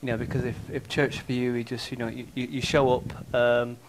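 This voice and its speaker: Man, flat even delivery, thoughtful, filler-heavy, noisy recording. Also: mid-range voice, fairly quick. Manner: thoughtful, filler-heavy, says "you know" a lot. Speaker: churchgoer guest. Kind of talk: reflective casual answer. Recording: noisy background.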